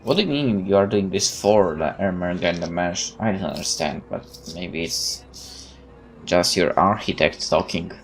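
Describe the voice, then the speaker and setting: A man's voice: deep voice, moderate pace. A man reading a visual novel aloud as a let's play host, with informal muttered asides and interruptions to himself.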